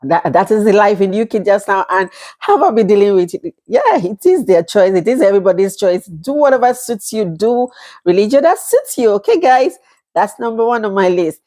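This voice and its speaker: A woman's voice: mid-range voice, lively intonation, brisk, dark tone. Chatty Nigerian woman vlogging. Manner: chatty, laughs often, acts out quoted exchanges. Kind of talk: casual talk to camera.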